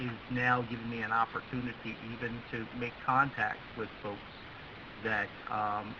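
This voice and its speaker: Man on a muffled, hissy radio link. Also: medium pitch. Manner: rambling, polite, appreciative. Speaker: amateur radio operator. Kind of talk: casual ham radio contact.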